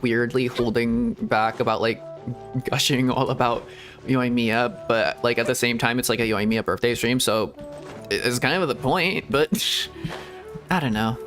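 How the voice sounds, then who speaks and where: A man's voice: mid-range voice, fairly even intonation, measured pace. A man streaming a video game as a VTuber, chatting casually in a rambling, giggly way. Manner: rambling, giggly, self-deprecating. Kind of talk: casual gameplay livestream chat. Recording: streamer mic with game music behind.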